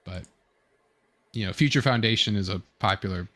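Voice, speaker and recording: A young adult man hosting a casual online fan discussion, talking in a rambling, halting way with fragmented phrasing. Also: lowish voice, pushed and bright. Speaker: online show host. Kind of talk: casual fan commentary, reading chat comments.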